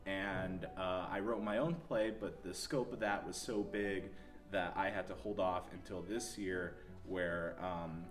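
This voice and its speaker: A man's voice: low voice, fairly even intonation, measured pace. A young adult man giving interview answers as a playwright and actor. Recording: music behind the voice. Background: background music.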